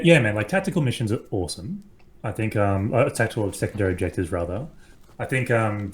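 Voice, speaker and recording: An Australian man chats as a podcast guest. His voice sits on the low side and lifts when he gets enthusiastic. He talks casually, with loose fillers and the occasional swear. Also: slight background noise.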